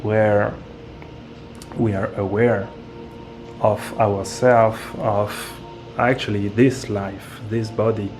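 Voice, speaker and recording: A man from France, a guest speaking in a slow, hesitant way and searching for his words. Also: on the low side, fairly even intonation, music in the background. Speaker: interview guest, medium and author. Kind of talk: casual interview talk.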